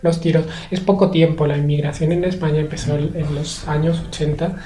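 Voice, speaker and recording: A young man from Spain, a guest in a conversational interview, speaking in a measured, hesitant way with many pauses and fillers. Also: fairly high pitch, level tone, dark tone, slight background noise. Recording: echoey room.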